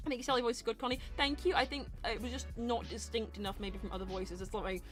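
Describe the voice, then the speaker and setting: Woman, higher pitch, casual live host, animated chat, reading and answering questions, music in the background. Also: quick pace. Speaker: host and voice actor of a live character Q&A show.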